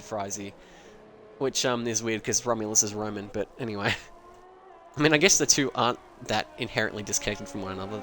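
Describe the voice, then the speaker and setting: A man's voice: mid-range voice, a bit bright, a little hiss or hum. A man casually commentating a sim racing league on a live stream, rambling and joking at his own expense and swearing freely.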